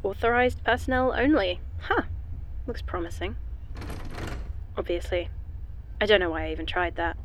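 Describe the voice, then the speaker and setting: A woman's voice: medium pitch, expressive, normal speed, pauses often, slight background noise, lightly acted. A woman playing a wry, nosy young woman in an audio drama, informal and sweary. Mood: snooping, muttering. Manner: sarcastic, informal, sweary, talks to herself. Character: wry, nosy young woman stranded on a time-travelling train. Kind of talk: naturalistic audio drama acting.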